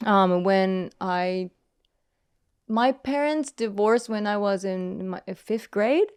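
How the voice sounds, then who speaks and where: A woman guest telling her story in a casual interview, hesitant and soft-spoken, with many pauses and restarts. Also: medium pitch, smooth, dry recording, studio quality. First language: English.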